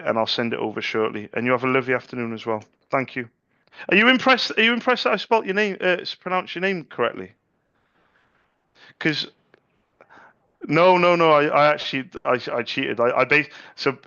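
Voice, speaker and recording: A man's voice: mid-range voice, sharp tone, no room sound, highs cut off. A middle-aged man, a salesman talking casually on a sales call, chatty and repeating words as he restarts his sentences.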